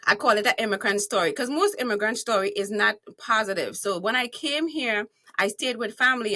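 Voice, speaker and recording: A woman's voice: on the high side, sharp tone. A woman with a Trinidadian accent, a guest on a podcast interview, telling her story in a bold, playful way. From Trinidad and Tobago. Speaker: guest, speaker and author.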